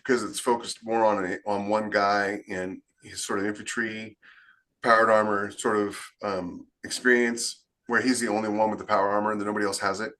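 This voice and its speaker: A man's voice: on the low side, level tone, a little fast, bright, forceful tone. A man co-hosting a podcast in casual chat, informal and emphatic. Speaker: podcast co-host and author.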